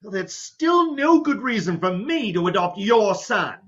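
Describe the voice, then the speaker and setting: A man's voice: high-pitched, very animated, a little slow, fairly bright tone, heavily acted. Man acting a flustered, swooping reluctant doctor, muffled. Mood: indignant, baffled. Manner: hesitant, protesting. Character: flustered, reluctant mild male doctor, easily put upon. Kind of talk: stage farce readers theater acting.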